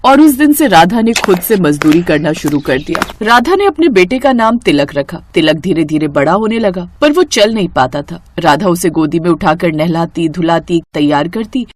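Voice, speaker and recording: A woman's voice: on the low side, very animated, moderate pace, hardly any pauses, no room sound. A woman reading a scripted devotional story as its storyteller. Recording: music behind the voice. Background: background music.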